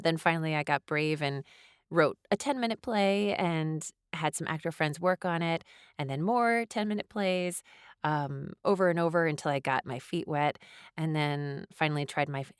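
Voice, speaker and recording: A woman's voice: sits low, smooth, dry recording, studio quality. A woman answering interview questions as a guest, thinking aloud in hesitant, thoughtful phrases. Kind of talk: reflective interview conversation.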